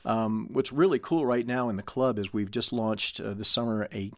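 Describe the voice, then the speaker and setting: A man's voice: on the low side, normal speed, no echo. Man explaining casually, dry close recording. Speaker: guest from an audio drama team. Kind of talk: casual panel discussion.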